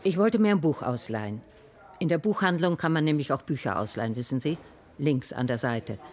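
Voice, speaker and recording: A woman's voice: low-pitched, expressive, fairly quick, darkish tone. A querulous, fussy woman witness. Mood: shaken, recounting. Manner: querulous, brusque, easily agitated. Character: indignant, fussy, shaken woman shooting witness in hospital. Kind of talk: radio crime drama acting.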